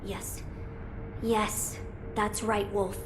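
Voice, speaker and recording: A woman voicing a gentle, earnest young boy lord in a game cutscene, formal and pensive in manner. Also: medium pitch, flat delivery, very slow, background noise. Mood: pensive, curious. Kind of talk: dramatic game cutscene acting.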